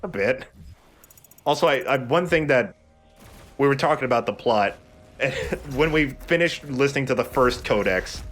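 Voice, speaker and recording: A man's voice: mid-range voice, animated, moderate pace, a bit bright, soft background music. A man hosting a let's play, giving casual, jokey game commentary with friends. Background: game sound.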